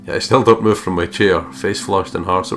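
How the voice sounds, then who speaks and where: Deep male voice, let's play narration, jokey and sweary, breaks into ad-libs, clear music behind. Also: steady tone, moderate pace. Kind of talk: reading a visual novel aloud with casual commentary.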